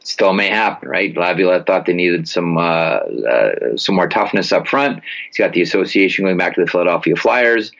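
A man's voice: deep voice, lively intonation, moderate pace, gravelly, few pauses, fairly bright tone. A man giving emphatic, punchy sports commentary in a casual style, as a guest on a talk show. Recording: dull lo-fi sound.

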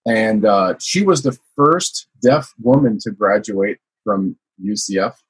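A man's voice: on the low side, expressive, slow pace, husky, rough recording. A man from the United States, a guest telling his life story in a casual interview, rambling with many fillers. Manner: rambling, frequent "you know" fillers.

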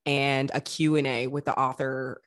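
A woman hosting a casual interview, chatty and expressive, with a self-deprecating way of talking. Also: rumbling low, normal speed, no echo, clean studio sound. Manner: expressive, self-deprecating, acts out quoted thoughts. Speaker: podcast host, actor.

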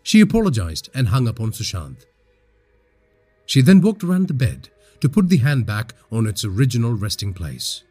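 A man from India tells a horror tale with theatrical suspense. His medium-pitched voice is husky and swings widely in intonation. Faint music sits behind the narration. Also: big pitch swings, moderate pace, darkish tone. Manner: dramatic, suspenseful delivery. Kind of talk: dramatic scripted horror storytelling.

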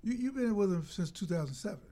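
A man with a fairly high-pitched voice working as a sports interviewer. His style is conversational and probing, with bantering questions that he reshapes as he speaks. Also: expressive, fast talker, warm tone. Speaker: interviewer, sports show host.

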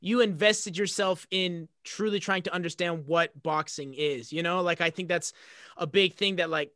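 A man's voice: high-pitched, fairly even intonation, normal speed, sharp tone, no room sound, clean studio sound. A man hosting an interview, upbeat and laughing often, repeating words as he asks questions. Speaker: podcast host. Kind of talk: casual interview.

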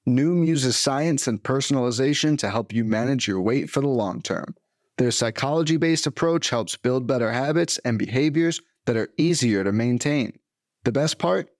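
Smooth male ad announcer. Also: mid-range voice, no room sound, clean studio sound. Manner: smooth, upbeat promotional delivery. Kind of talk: scripted ad read.

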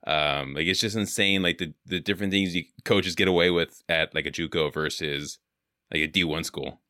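A man's voice: deep voice, fairly even intonation, moderate pace, bright, forceful tone, dry recording, clean studio sound. A young man from California hosting a podcast, chatting casually and rambling with lots of filler words. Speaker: podcast host. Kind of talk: casual interview chat.

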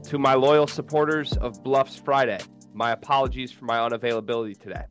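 A man co-hosting a casual sports podcast, sick and feeling rough, with dry deadpan teasing. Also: mid-range voice, fairly quick, soft background music. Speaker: podcast co-host.

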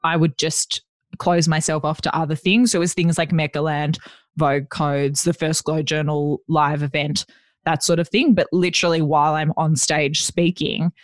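A woman with a deep voice, chatting as a podcast guest and telling self-deprecating stories. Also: flat delivery, moderate pace, no echo, clean studio sound. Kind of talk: casual chat.

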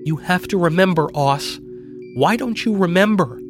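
Man voicing a desperate, high-pitched young woman. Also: moderate pace, music in the background, close to an everyday voice. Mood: desperate, pleading. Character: drugged, frightened young sister, pleading conspiracy believer. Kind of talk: audiobook character acting.

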